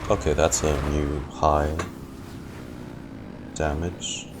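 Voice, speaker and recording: Low-voiced, slow-talking man streaming a game. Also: level tone, heavy background noise. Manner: rambling, repeats words and phrases. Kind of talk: casual live gaming commentary. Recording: game music behind the voice.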